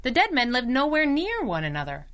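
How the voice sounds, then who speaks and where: Bright, plucky sidekick. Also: female voice, sits high, wildly swooping pitch, brisk, full character voice. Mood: businesslike. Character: plucky, wisecracking young female crime-fighting sidekick. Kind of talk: 1930s-style radio drama acting.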